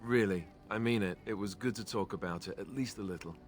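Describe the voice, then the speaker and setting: An actor playing a gentle young warrior who speaks softly and quietly in a fantasy game. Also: male voice, fairly low pitch, brisk, faint music behind, lightly acted. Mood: subdued.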